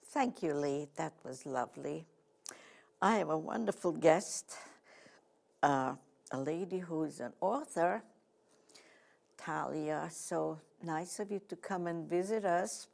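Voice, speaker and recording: A woman's voice: sits low, slow, creaky, long pauses, a little mellow. A woman with a Hungarian accent hosting a talk show, chatty and gushing with her guest. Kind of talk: casual interview chat.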